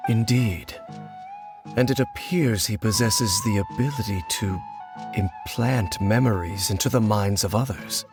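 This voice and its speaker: Low-voiced, stoic wanderer. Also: male voice, creaky, music in the background. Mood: solemn, deliberate. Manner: measured, solemn, formal. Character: grave, guarded male wanderer, stoic and formal. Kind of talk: video game voice acting.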